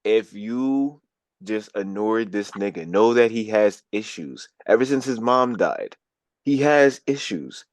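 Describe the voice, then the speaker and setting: A man's voice: fairly low pitch, animated, takes it slowly, no room sound. A young American man co-hosting a podcast, talking bluntly and profanely in a casual chat. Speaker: podcast co-host.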